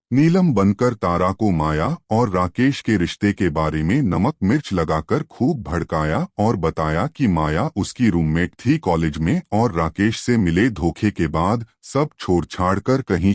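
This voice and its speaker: A man's voice: low-pitched, darkish tone, no echo, studio quality. A man narrating a scripted suspense story as a storyteller.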